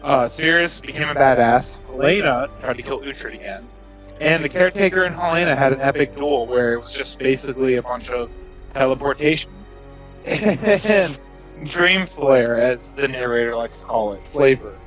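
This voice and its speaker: A man's voice: medium pitch, a bit bright, low-quality recording. A young man, one of the players, recapping the last game session in a rambling, filler-heavy way.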